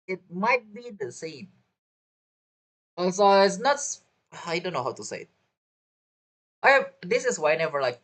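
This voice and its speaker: A woman's voice: on the low side, a bit bright. A woman who is not a native English speaker, chatting casually while livestreaming a game in a hesitant, rambling way that circles back on itself. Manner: hesitant, rambling, repeats phrases. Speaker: game streamer. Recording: game music behind the voice. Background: video game music and character voices.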